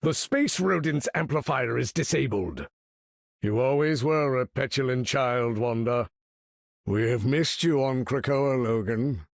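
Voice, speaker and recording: Game role: imperious older mutant leader, slow, gravelly, grandiose and formal. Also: male voice, mid-range voice, animated, no echo, studio quality. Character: proud, imperious older male mutant master of magnetism. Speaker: video game voice actor. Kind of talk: theatrical game character voice lines.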